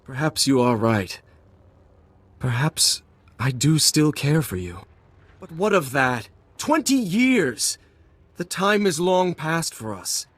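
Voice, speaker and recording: A man's voice: on the high side, sing-song, takes it slowly, a bit bright, no room sound, exaggerated voice. An actor voicing a stern, righteous middle-aged male warrior monk in a video game, speaking formally and earnestly. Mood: conflicted, solemn. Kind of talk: dramatic video game cutscene acting.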